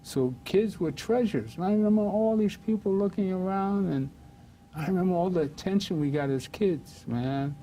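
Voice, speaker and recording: A man's voice: high voice, expressive, moderate pace, mellow, slight background noise, rough recording. An older man from Harlem hosting a casual talk show, reminiscing in a rambling way with many fillers. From Harlem, New York.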